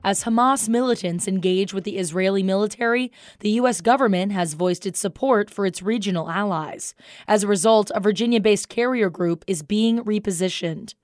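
A woman's voice: mid-range voice, normal speed, no room sound, clean studio sound. A woman with an American accent reading a scripted radio newscast as the anchor. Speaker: news anchor.

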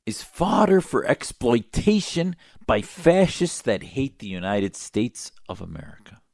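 A man's voice: medium pitch, very animated, a little slow, creaky, a little mellow, dry recording, clean studio sound. A man giving opinionated talk-show commentary in an emphatic, sarcastic, combative manner. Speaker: political commentator.

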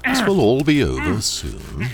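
An actor playing a ruthless corporate trainer in an audio drama, speaking with measured, polite and condescending menace. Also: male voice, lowish voice, huge pitch swings, gravelly, soft background music, full character voice. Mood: coolly sinister.

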